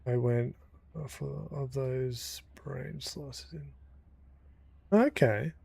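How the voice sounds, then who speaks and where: A man casually commenting as he plays through a game, thinking aloud with pauses and fillers. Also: medium pitch, steady tone, gravelly, dark tone, slightly rough. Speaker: gaming YouTuber. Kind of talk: casual let's-play commentary.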